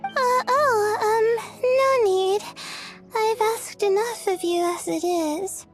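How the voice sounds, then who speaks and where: A shy, soft, sleepy student. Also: female voice, very high-pitched, slow pace, soft background music. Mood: timid. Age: young adult. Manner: soft, hesitant, full of "uh"s. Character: shy, sleepy young woman student stargazer.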